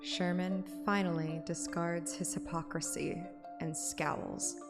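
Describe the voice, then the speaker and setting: A woman's voice: lowish voice, fairly even intonation, takes it slowly, creaky. A woman streamer narrating a game story in an audiobook style, with casual asides. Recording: reverberant, music under the voice.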